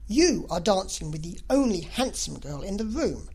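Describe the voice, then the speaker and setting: A woman voicing a proud, cold and fastidious young gentleman in a novel reading, with a formal, disdainful manner. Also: mid-range voice, sing-song, slightly rough. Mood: cold, dismissive. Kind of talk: classic novel audiobook character reading.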